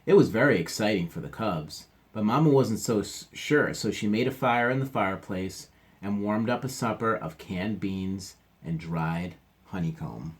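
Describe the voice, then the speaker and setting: Male teacher reading a kids book out loud, lowish voice, chatty little asides. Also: normal speed. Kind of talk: reading a picture book aloud to children with asides.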